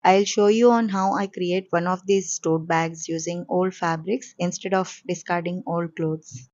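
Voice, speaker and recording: Hoarse, coarse-voiced woman host; calm step-by-step tutorial narration, slightly low, dry and muffled. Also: a little slow, no echo. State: hoarse from a cold. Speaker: sewing channel host. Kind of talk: voice-over sewing tutorial.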